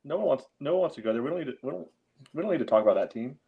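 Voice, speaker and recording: A man's voice: medium pitch, expressive, rapid-fire, creaky. A man co-hosting a casual sports debate in a blunt, skeptical, argumentative way, with slightly muffled audio. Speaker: podcast co-host.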